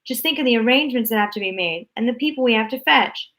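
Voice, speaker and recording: A woman voicing an excitable, eccentric grown man in a storybook reading, talking in bouncy, grand exclamations. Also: on the high side, fast. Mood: delighted.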